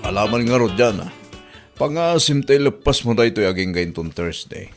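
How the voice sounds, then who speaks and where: A man's voice: lowish voice, lively intonation, moderate pace, over music, close to an everyday voice. A man with an Ilocano accent playing an office boss handing out work in a radio comedy drama. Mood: businesslike. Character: male office boss assigning work to staff.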